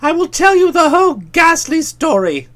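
Man acting out hapless young English gentleman, higher lively pitch, plaintive, emphatic, fretful, comic storybook hero. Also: a little slow, full character voice.

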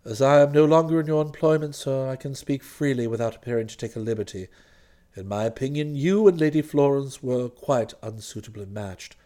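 A man voicing an unflappable, deferential English valet in a storybook reading, with formal, measured and faintly superior phrasing. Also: mid-range voice, dark tone, pitched below the performer's own voice, lightly acted. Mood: frank, composed.

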